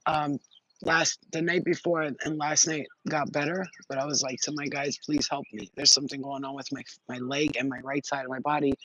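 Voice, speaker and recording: A man talks loosely to his live-stream viewers, giving them spiritual readings. He drifts from story to story in a rambling, excitable way and swears freely. His voice sits a little higher than most men's. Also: fairly quick, poor audio. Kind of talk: casual live-stream chat and intuitive reading. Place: outdoors near trees.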